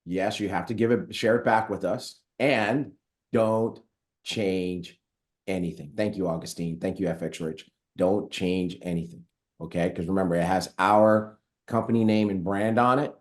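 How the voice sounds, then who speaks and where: A man's voice: sits low, fairly even intonation, moderate pace. A man hosting a live online training call, upbeat and chatty, reading viewer comments aloud with frequent fillers. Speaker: host of a company training call. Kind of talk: casual live webinar hosting.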